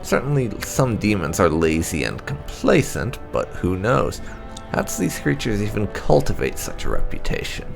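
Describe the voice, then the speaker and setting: A man with a deep voice reads video game lore aloud in a measured way. His tone is gravelly with plenty of fry, and his pitch moves expressively as he narrates. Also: big pitch swings, music in the background. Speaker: video game playthrough host.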